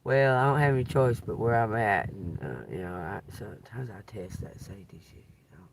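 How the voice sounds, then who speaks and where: A man hosting a live stream, chatting loudly and laughing often while telling profane stories. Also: lowish voice, a little fast, creaky, noisy background. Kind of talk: rambling casual live stream chat.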